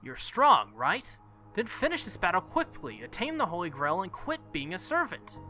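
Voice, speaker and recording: A stubborn young hero read by a man in a bright, slightly high voice, with game music behind. He is irritable and protective in this visual novel drama. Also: wildly swooping pitch, normal speed, exaggerated voice. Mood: angry, urgent.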